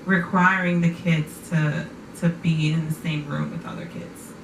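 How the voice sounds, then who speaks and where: A woman's voice: deep voice, little pitch movement, unhurried. A woman hosting a casual talk show, often affirming and repeating her guest's words back. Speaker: podcast host. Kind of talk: casual conversation. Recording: echoey room, distant mic, noisy.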